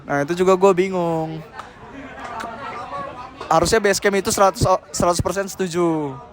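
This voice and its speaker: Young man, a bit high-pitched, loose slangy answers with lots of fillers, outdoor interview. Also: steady tone, normal speed, a crowd in the background. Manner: rambling, slangy, heavy with fillers. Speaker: senior member being interviewed.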